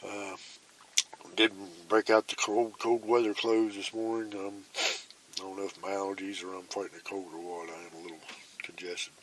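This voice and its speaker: A man, filming a hunting vlog on his phone outdoors, telling his story in an excited ramble and switching to a hushed whisper up in the tree stand. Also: low-pitched, moderate pace, a little hiss or hum, poor audio. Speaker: bowhunting vlogger. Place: outdoors in woods.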